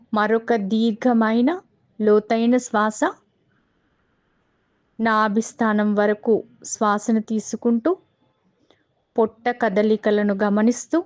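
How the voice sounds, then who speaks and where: A woman with a Telugu accent guiding a meditation in a calm, measured way, pausing between short phrases. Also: a little high. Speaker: meditation guide. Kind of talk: guided meditation instruction.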